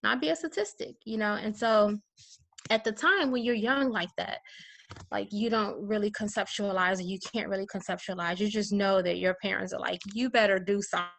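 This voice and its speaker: A woman from with a mid-range voice that drops into gravelly fry. She talks in a relaxed, rambling way with lots of fillers over a video call. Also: medium pitch, fairly quick. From Chicago, USA. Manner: chatty, many fillers, laughs easily. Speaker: guest, educator.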